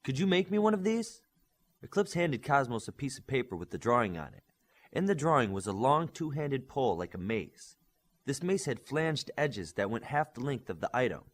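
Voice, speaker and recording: A man narrates an action audiobook in a scripted storytelling style. His voice has an average male pitch, and it moves around a lot in melody from phrase to phrase. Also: big pitch swings, normal speed. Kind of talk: scripted narration of a superhero novel.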